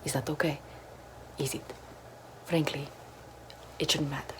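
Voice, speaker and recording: A young woman doing a parody ASMR role-play, whispering in a deadpan, mock-mystic way into a close camera in a small bedroom. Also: deep voice, measured pace, background noise. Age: young adult. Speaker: ASMR creator. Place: small bedroom with floral backdrop, indoors.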